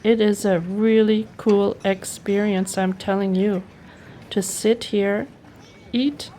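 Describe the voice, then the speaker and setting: A woman's voice: medium pitch, steady tone, slow pace, mellow, slight background noise. A woman narrating her personal travel vlog in an enthusiastic, appreciative way. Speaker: travel vlogger.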